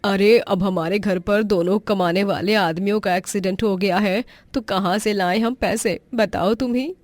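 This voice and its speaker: A woman's voice: mid-range voice, fairly even intonation, normal speed, no echo, natural voice. Woman as homely, outspoken elderly mother-in-law. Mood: indignant.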